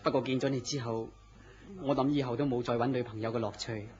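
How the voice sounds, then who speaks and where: An actor playing a gentle, melancholy man in love, speaking earnestly and theatrically in Cantonese radio drama style. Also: medium pitch, steady tone, fast talker, natural voice. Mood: melancholy, lovestruck. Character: lovestruck, gentle, self-deprecating melancholy man. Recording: dull lo-fi audio with noise.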